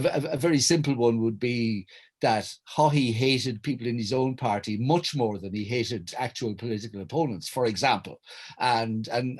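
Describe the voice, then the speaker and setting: Halting, wordy Irish man. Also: mid-range voice, darkish tone. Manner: hesitant, stammering restarts, wordy and articulate. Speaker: writer and director, panel guest. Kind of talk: reflective conversation recounting memories.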